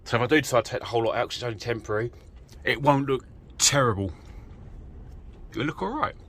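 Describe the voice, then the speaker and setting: A man's voice: medium pitch, fast talker, a bit bright. A British man giving a casual, self-deprecating DIY walkthrough while working, rambling informally into a handheld camera. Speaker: vlogger, amateur vehicle DIYer. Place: in and around an off-road vehicle outdoors. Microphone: handheld phone or camera mic. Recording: noisy handheld recording with vehicle ambience.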